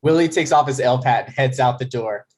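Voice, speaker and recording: A man's voice: medium pitch, a little fast, darkish tone. A man reading stage directions as scripted narration for a table read.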